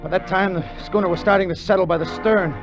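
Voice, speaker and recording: A man's voice: sits high, steady tone, fast talker, close to an everyday voice. A man plays a crooked American treasure hunter in an old radio adventure. He is a tough, plainspoken sailor, desperate and greedy. The recording is muffled, with prominent music behind him. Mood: agitated. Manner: tough, plainspoken first-person storytelling. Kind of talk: 1950 radio drama acting.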